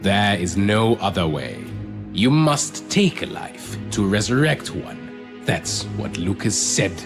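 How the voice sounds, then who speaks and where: An actor playing a desperate, grieving kidnapper in a video game, with a rough voice and dramatic delivery. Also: male voice, fairly high pitch, lively intonation, slow, music in the background, heavily acted. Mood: cold, grim. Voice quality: gravelly, rough voice. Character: desperate grieving male kidnapper, a remorseful villain. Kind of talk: video game cutscene acting.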